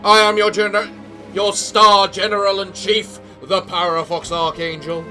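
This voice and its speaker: A young English man giving casual let's-play commentary in a theatrical gentleman persona, a little sick, with a voice that sounds off. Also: sits high, little pitch movement, a little slow, soft background music. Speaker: gaming YouTuber.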